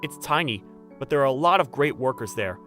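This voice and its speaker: A man's voice: medium pitch, animated, a little fast, fairly bright tone, soft background music, natural voice. A man playing an earnest, easily flustered young factory owner in love, in a manga dub. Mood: earnest, nervous. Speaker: voice actor, lead and narrator. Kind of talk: dramatized manga dub with inner monologue.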